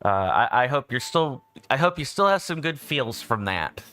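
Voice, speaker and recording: A man's voice: medium pitch, sing-song, normal speed, bright, forceful tone. A man, just woken up, chatting casually as a game stream host, rambling with frequent self-corrections. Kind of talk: casual livestream chat.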